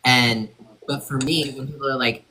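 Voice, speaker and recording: A woman's voice: rumbling low, fairly even intonation, normal speed, sharp tone, slight background noise, poor audio. A woman from the Pacific Northwest with a Pacific Northwest American accent, chatting casually on a live stream and filling her talk with "like". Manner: chatty, many "like" fillers. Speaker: guest.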